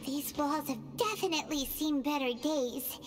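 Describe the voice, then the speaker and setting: A woman voicing a small, excitable female fairy companion in a game, with a high, chirpy voice. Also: a little slow, heavy background noise. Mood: wary. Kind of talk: game voice acting. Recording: game audio with loud background music.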